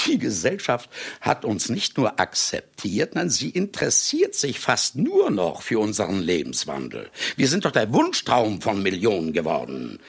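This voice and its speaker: A grandiose, cynical older man of letters in a radio drama. His voice is husky and gravelly, swinging widely in pitch as he declaims with boastful relish. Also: exaggerated voice. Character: boastful ageing famous male writer confessing to murders. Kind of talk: theatrical German radio play monologue.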